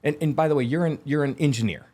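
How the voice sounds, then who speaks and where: A man's voice: fairly high pitch, fast talker, darkish tone. A man hosting a podcast, asking eager, wordy questions that often restart mid-sentence. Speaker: podcast host. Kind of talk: casual interview chat.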